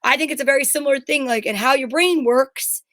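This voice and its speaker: Female co-host, high-pitched, informal livestream chat, dry sound without room echo. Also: brisk, fairly bright tone. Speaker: show co-host.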